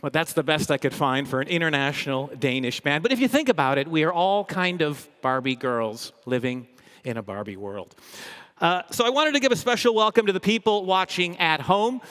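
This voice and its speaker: A man with a fairly high voice presents live on stage in a large, reverberant hall. He is an upbeat comedian host, energetic and playful. His delivery is humorous, full of tongue-in-cheek boasting. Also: fairly quick, smooth. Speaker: comedian hosting a corporate event. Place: large indoor event hall, live audience. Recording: echoey big hall, long reverb.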